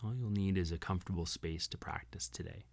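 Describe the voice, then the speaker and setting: A yoga instructor guiding a sleep meditation in a soothing, unhurried way with long pauses. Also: sits low, creaky. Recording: singing bowl tones over the voice. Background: singing bowls and sound bath tones.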